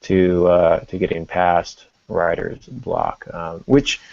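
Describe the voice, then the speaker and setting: A middle-aged man hosting a podcast, talking casually in a halting, reflective way and correcting himself as he goes. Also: low-pitched, completely flat delivery, gravelly, a little mellow, dry recording, dull audio. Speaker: podcast host.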